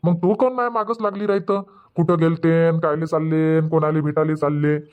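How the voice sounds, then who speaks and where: A man's voice: fairly high pitch, fairly even intonation, moderate pace, clean tone, narrow, tinny audio, lightly acted. A man voicing an irritable, argumentative village husband in a comedy cartoon, grumbling in a Varhadi Marathi accent. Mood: exasperated. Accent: Varhadi Marathi (Vidarbha).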